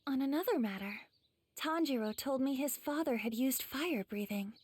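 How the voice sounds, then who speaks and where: A woman playing a gentle, polite young female demon slayer mentor in an anime game, soft-spoken with a teasing sweetness. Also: high voice, expressive, normal speed, husky, dry recording. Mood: earnest, respectful.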